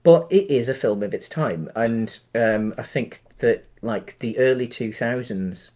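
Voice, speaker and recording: A man co-hosting a podcast, chatting casually about films and thinking aloud in a halting way. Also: medium pitch, mellow. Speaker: podcast co-host. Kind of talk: casual film discussion.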